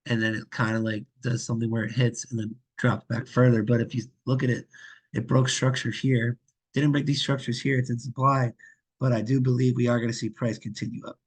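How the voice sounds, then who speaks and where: A young man with a raspy voice and a sore throat, losing his voice, hosts a casual, chatty walkthrough of trading charts. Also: mid-range voice. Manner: loose and chatty, many fillers and asides. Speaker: trading channel host.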